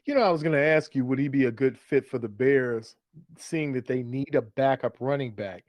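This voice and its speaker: American guy hosting fantasy football chat, mid pitch, conversational, really dry sound. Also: normal speed, darkish tone, no room sound. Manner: conversational, steers segments and poses questions. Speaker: fantasy football show host. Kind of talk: casual sports talk.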